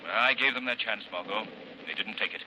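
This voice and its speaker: An actor playing a grave, commanding vigilante in 1930s radio drama style, measured, solemn and authoritative. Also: male voice, on the low side, close to an everyday voice. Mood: solemn, moralizing. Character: grave, commanding male crime-fighting vigilante. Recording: noisy 1930s radio broadcast.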